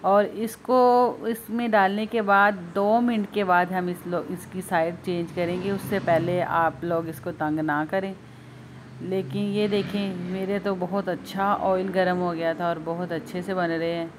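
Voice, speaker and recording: A woman's voice: lowish voice, little pitch movement, unhurried, background noise. A woman demonstrating a recipe step by step in her home kitchen, speaking patiently to viewers and repeating her instructions. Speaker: home cooking video host.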